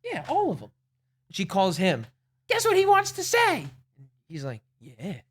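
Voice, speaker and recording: A man's voice: high-pitched, huge pitch swings, unhurried, background noise. A young man chatting casually as a guest, laid-back and teasing. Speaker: guest, host's son. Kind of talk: casual chat.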